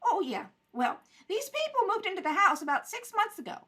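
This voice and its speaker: A woman's voice: very high-pitched, very animated, a little fast, fairly bright tone, voice pushed up, exaggerated voice. A woman playing a nosy, pushy, gossipy neighbor in a radio crime drama, recorded at home on a distant microphone. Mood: indignant, chatty. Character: nosy, indignant, chatty older woman neighbor making a police complaint.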